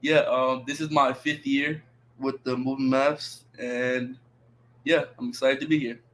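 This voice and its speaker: A man's voice: medium pitch, level tone, a little slow. A man in his twenties from the United States, casually introducing himself as an athlete guest. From New York and Texas, United States. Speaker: college wheelchair basketball player, guest.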